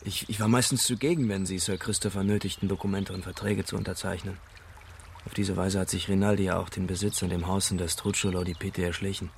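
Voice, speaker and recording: A man playing a calm, reflective young Englishman giving a witness account in a German radio crime drama. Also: low-pitched, quick pace, gravelly, a little hiss or hum. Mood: reflective, measured. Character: young Englishman, dying uncle's observant great-nephew, calmly forthcoming.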